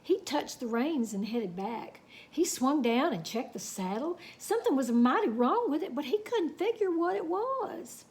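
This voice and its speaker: A woman reading a children's story aloud in an expressive, folksy, dramatic way with chatty asides. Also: high-pitched, unhurried, hardly any pauses.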